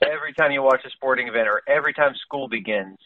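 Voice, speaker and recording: A man's voice: medium pitch, quick pace, no room sound, phone-quality sound. A man in an informal political discussion on a conference call, explaining his points and often checking that listeners follow. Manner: explanatory, asks check-in questions like "you know?".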